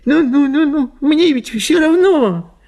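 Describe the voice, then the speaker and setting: An actor playing a blustering, teasing gentleman husband in a dramatized story, with a gravelly, husky, scolding voice. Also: extremely high pitch, expressive, slow pace, heavily acted. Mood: irritated, dismissive. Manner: scolding and mocking, exclamatory. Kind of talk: dramatized literary short story reading.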